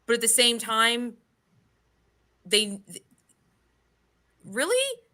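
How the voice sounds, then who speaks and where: A woman's voice: fairly high pitch, wildly swooping pitch, very slow, sharp tone. A woman in a casual, opinionated book chat, throwing out exasperated rhetorical questions and swearing freely. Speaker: book club podcast host.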